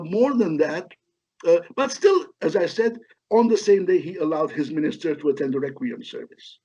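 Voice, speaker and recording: A middle-aged man from Lebanon speaks in a fairly high voice through slightly dull, lo-fi audio. He explains like a professor being interviewed, feeling his way haltingly through detailed answers. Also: darkish tone. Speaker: history professor, interview guest.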